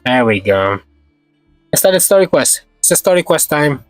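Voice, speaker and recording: A man's voice: on the high side, very animated, normal speed, no echo, clean studio sound, faint music behind. A man giving casual, rambling live commentary as he streams a game, repeating himself and swearing mildly, with a slightly sore throat.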